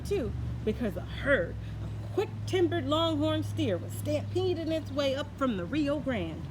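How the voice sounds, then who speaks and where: A woman telling a children's story aloud, expressive and folksy, now and then adding a comment of her own. Her voice sits a little high. Steady background noise and the sound of passing vehicles run under the reading.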